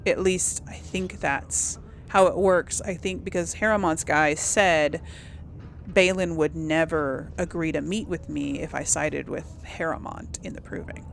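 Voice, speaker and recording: A woman's voice: low-pitched, fairly even intonation, unhurried, vocal fry, slight background noise. A woman giving casual commentary on a role-playing game, thinking aloud in a conversational way as its host. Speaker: gaming channel host.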